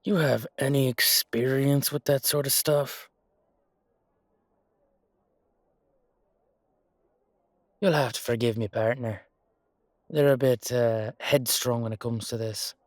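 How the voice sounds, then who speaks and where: A man voicing a genial, shrewd knight in a fantasy audio roleplay, speaking in a measured, folksy, old-fashioned way. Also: mid-range voice, steady tone, dry recording, studio quality, lightly acted. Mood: diplomatic. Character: male knight, genial, shrewd negotiator on royal business.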